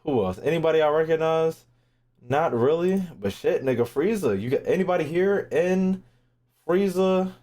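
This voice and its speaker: A young man streaming his reactions to a game. He talks in a brash, slangy, sweary way and repeats phrases, with pitch that jumps around a lot. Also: expressive, moderate pace, warm tone. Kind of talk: casual live-stream commentary.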